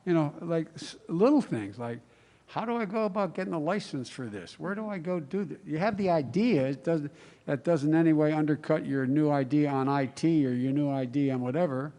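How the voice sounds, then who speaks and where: A man's voice: on the high side, brisk, dark tone. A man speaking as a candidate at a town-hall roundtable, answering off the cuff in a rambling way and restarting sentences mid-thought.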